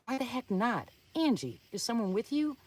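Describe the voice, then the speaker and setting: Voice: medium pitch, sing-song, normal speed, recorded from a distance, soft background music, voice pushed up. A storyteller voicing a frightened, protective young man in a horror tale, urgent and exclaiming. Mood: pleading. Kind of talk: amateur dramatic story reading.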